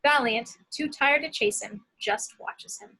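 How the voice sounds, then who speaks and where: A woman's voice: high voice, measured pace, pushed and bright, poor audio. A woman reading scripted action descriptions as the narrator of a screenplay table read.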